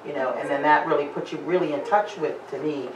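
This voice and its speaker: A man's voice: a little high, fast, slight background noise. A man in the audience sharing an earnest, reflective thought, with many "you know"s. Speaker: audience member. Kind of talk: speaking up from the audience. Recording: dull, roomy sound from far off.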